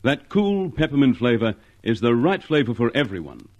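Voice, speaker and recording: Animated 1950s male radio announcer. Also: mid-range voice, moderate pace, muffled recording. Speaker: commercial and credits announcer.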